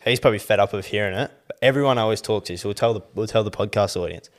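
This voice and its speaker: A man's voice: lowish voice, rapid-fire. A man, rambling in casual podcast chat, full of fillers and swearing. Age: 20s. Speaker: podcast co-host.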